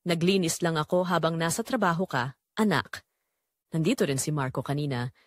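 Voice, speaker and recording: A woman's voice: deep voice, moderate pace, no echo, studio quality. A woman voicing a caring, coaxing retired mother with a secret, in an audio drama. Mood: caring, casual.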